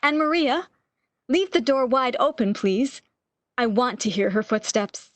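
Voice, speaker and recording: Woman acting a high-voiced, wistful bedridden romantic heroine. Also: lively intonation, unhurried, dry recording. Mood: anxious, hopeful. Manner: breathless, emotional, old-fashioned melodramatic phrasing. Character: bedridden lovesick woman, wistful, eager, longing for lost love. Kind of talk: old-time radio romance acting.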